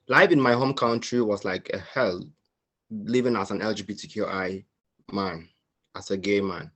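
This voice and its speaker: A man from Cameroon, giving a halting, earnest personal testimony as a panelist on a video call. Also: fairly low pitch. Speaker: panelist sharing his asylum story.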